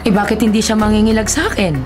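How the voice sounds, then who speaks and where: A woman's voice: mid-range voice, level tone, racing, lightly acted. Woman acting a composed, authoritative village chief. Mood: firm. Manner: reassuring, firm. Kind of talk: Tagalog radio drama acting. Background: dramatic background music.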